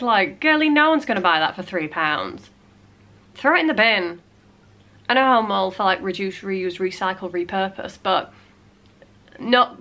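A woman's voice: medium pitch, vocal fry, pushed and bright. Chatty woman with a cold. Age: late 20s. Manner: chatty, frequent "like" fillers, playful asides. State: congested with a cold. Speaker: vlogger. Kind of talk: casual chat. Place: small cluttered home room.

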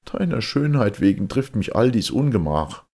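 A put-upon young huntsman in a fairy tale , voiced by a man. He is earnest and plain-spoken, his husky voice lifted and animated. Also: on the low side, normal speed, pitched above the performer's own voice, full character voice. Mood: troubled.

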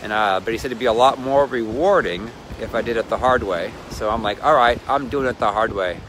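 A middle-aged man rambling cheerfully into his phone at arm's length, drifting from one thought to the next. He sounds cold, standing outdoors by the water. Waves and gusts of wind are clearly heard behind his mid-pitched voice. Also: a little fast, fairly bright tone. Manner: chatty, wandering, self-mocking. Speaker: vlogger and ministry leader. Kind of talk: casual rambling selfie vlog. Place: outdoors on a windy lakeshore with waves. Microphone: phone held at arm's length. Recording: wind and surf noise on phone audio.

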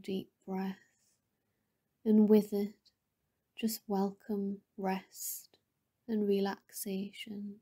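A woman leading a guided meditation in a soft, breathy, often near-whispered voice, with a soothing, gentle manner and lingering pauses between phrases. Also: mid-range voice.

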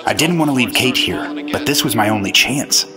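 A man's voice: medium pitch, animated, fairly quick, music in the background, close to an everyday voice. An actor playing an earnest 1950s FBI agent, narrating his case in the first person in a noir-style radio drama. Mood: tense, earnest.

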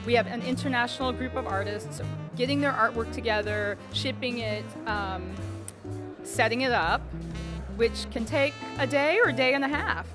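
Higher-pitched American woman, music behind. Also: normal speed. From Dallas, Texas, USA. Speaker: art gallery owner, interview guest. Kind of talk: on-camera interview. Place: indoor art fair booth. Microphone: handheld interview mic held out by interviewer.

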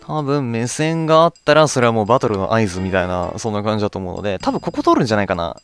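A man's voice: lowish voice, very animated, rapid-fire. A man giving casual gameplay commentary, often murmuring questions to himself as he plays. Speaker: let's play host. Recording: game music behind the voice. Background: video game music.

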